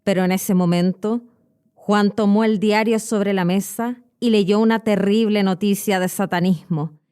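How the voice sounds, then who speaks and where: A woman's voice: mid-range voice, steady tone, measured pace, mellow, clean tone. A woman in her thirties with a Chilean accent, narrating scripted educational stories expressively as a podcast host. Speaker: podcast host and Spanish teacher.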